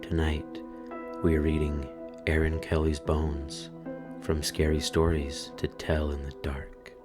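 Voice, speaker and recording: A man reading a spooky children's story aloud in a dramatic, expressive way. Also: extremely low pitch, slow, music in the background. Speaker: storyteller, channel host.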